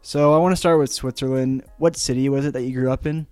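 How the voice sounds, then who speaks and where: A young man hosting a student podcast, asking relaxed, friendly interview questions. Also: mid-range voice, fast, smooth, no echo, faint music behind. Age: young adult. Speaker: podcast host. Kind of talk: casual interview.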